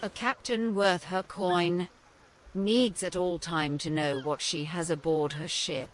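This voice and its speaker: An actor playing a brisk, officious, sceptical woman ship captain in an audio fantasy game, commanding and dry. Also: lowish voice, steady tone, a little hiss or hum, rough recording. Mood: brisk, suspicious.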